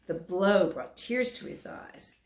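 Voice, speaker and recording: A woman volunteer narrating a children's story aloud in an expressive, emphatic storytelling manner. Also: medium pitch, slow. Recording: roomy echo.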